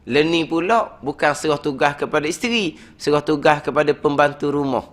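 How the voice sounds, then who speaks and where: Lively religious teacher. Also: male voice, on the high side, brisk. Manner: lively and conversational, with chuckles and frequent "ha" fillers. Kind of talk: weekly mosque study lecture. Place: prayer hall lecture.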